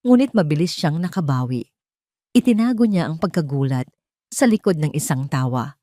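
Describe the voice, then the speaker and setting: Low-voiced Filipino woman narrating, dry recording. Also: animated, normal speed, dark tone, no echo. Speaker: storyteller. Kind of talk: dramatic scripted Tagalog romance narration.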